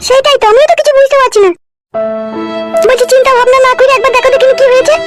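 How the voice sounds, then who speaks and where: A woman voicing a sharp-tongued, sassy, nagging village wife in a comic cartoon. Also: very high voice, steady tone, very fast, over loud music. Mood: concerned. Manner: bickering, taunting, sassy.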